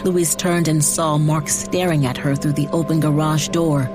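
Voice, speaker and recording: Female narrator, low register, deliberate scripted storytelling with faint music underneath. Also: fairly even intonation. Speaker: audiobook narrator.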